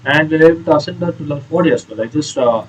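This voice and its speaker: A man giving casual, explanatory commentary on a video game playthrough in a hesitant, halting way. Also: fairly high pitch, breathy edge, dull audio. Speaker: gaming video creator.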